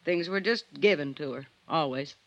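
An actress playing a resentful, folksy spinster housekeeper with clipped, sardonic talk in an old-time radio drama. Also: female voice, deep voice, normal speed, highs cut off. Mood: bitter, resentful.

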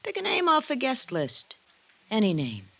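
A brittle, cynical magazine writer in a vintage radio mystery. Her lines swing widely in pitch, sly and sardonic, with slangy wisecracks and a tough act. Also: huge pitch swings, normal speed, a bit bright, slightly rough, heavily acted. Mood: sly, daring. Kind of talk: old-time radio drama acting.

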